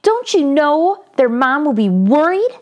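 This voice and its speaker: A woman's voice: high voice, big pitch swings, unhurried, dull audio, pitched above the performer's own voice. A woman voicing a bossy old village grandmother who scolds sharply and hurriedly in a children's storybook. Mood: scolding.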